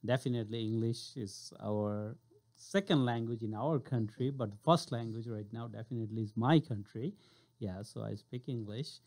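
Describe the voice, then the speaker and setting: Gravelly man from Bangladesh, laughing often. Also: medium pitch, moderate pace, a little mellow. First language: Bengali. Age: 40s. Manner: laughs often, talks in short broken phrases. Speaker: guest. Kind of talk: casual radio chat.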